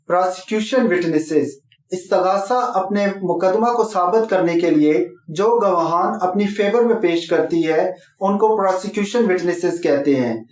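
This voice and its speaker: A man with a Pakistani accent giving a formal, explanatory legal lecture, instructive and mixing in English terms. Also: high voice. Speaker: law lecturer and presenter. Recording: echoey room sound.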